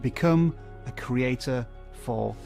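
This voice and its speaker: A man's voice: mid-range voice, takes it slowly, gravelly. A man reading a scripted charity appeal in an earnest, urgent way. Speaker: charity campaign narrator. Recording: music bed under the voice.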